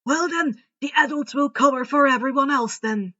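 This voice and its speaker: A woman's voice: high voice, moderate pace, sharp tone, dull audio. A woman voicing a kindly, cheerful old male wizard headmaster who gives orders emphatically in a storybook reading. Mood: firm, reassuring.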